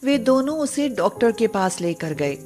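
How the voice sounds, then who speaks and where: A woman narrating a moral storybook tale in a measured, scripted way. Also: on the high side, big pitch swings, darkish tone, faint music behind.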